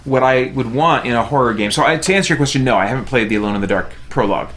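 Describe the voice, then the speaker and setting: A middle-aged man rambling casually in a halting way, thinking aloud as he hosts a game stream. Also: mid-range voice, fairly bright tone, a little hiss or hum. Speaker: live stream host. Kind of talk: casual chat while playing a game.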